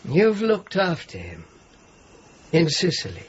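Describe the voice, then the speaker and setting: High-voiced, expressive, courteous male host. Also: slow pace, exaggerated voice. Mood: quietly sympathetic. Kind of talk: naturalistic period radio drama acting. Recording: dull lo-fi audio with clear noise.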